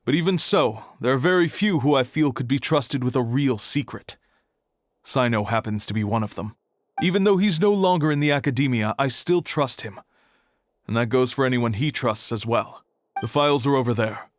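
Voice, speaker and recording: A man plays a serious scholar who keeps an academy's archive in a video game. He is reserved and measured, with a medium-pitched voice and a matter-of-fact delivery. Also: animated, close to an everyday voice. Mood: guarded.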